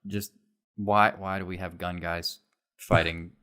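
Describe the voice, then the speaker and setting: Deep-voiced man, wry podcast banter. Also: little pitch movement, measured pace, pushed and bright, smooth. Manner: wry, joking. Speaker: podcast co-host. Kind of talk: casual comedic banter.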